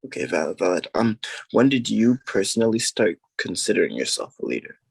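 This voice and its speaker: Gravelly low-voiced man, casual and slangy. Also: big pitch swings, brisk, no echo. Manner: enthusiastic, slangy. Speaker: interviewer, music artist. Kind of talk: casual interview chat.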